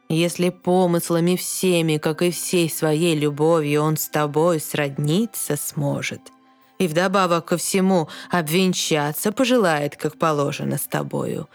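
A woman playing a wise, kindly old mermaid grandmother in a verse audio fairy tale, calm and measured as she explains. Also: low-pitched.

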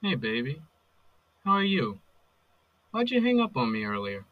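An actor with an American accent playing a possessive, manipulative ex-boyfriend in an audio drama, coaxing and volatile. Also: male voice, medium pitch, huge pitch swings, moderate pace, dry recording, narrow, tinny audio. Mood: sweet, coaxing. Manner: volatile, coaxing with pet names, swearing. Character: possessive, manipulative abusive ex-boyfriend, pleading and menacing.

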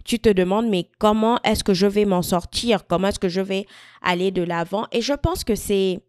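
A woman in her mid-twenties from Equatorial Guinea, talking thoughtfully to camera in a personal vlog, with frequent pauses and restarts. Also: medium pitch, a little mellow, clear, resonant tone, no room sound, studio quality. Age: 20s. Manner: thoughtful, searching, many fillers and restarts. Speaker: vlogger. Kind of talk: reflective personal talk to camera.

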